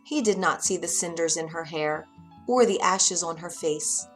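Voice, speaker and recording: A woman narrating a children's bedtime story in an expressive, dramatic way. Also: lowish voice, moderate pace, soft background music.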